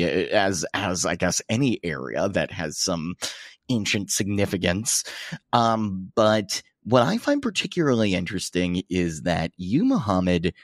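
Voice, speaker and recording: A very deep-voiced man hosts a podcast interview, speaking in a halting, searching way full of fillers and restarts. The recording is dry and close, with no room sound. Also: dry recording. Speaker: podcast host. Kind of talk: conversational interview.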